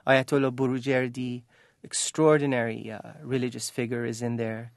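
A man's voice: medium pitch, little pitch movement, moderate pace, gravelly, dry recording. Impassioned man in a dry, close interview. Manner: passionate, impassioned, stumbles over restarts. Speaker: author, interview guest. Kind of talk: earnest interview answers.

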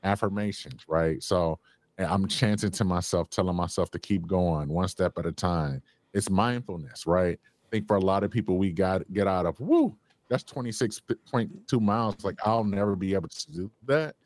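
A man's voice: low-pitched, normal speed, a little mellow, dry recording. A man being interviewed as a guest on a radio show, talking with enthusiasm and laughing easily.

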